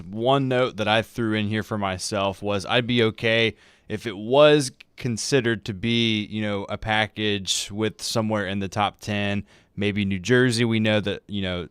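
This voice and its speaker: A man's voice: fairly low pitch, steady tone, normal speed, a bit bright, smooth, no echo, clean studio sound. A man co-hosting a sports radio show, putting questions in casual talk. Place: dry radio studio.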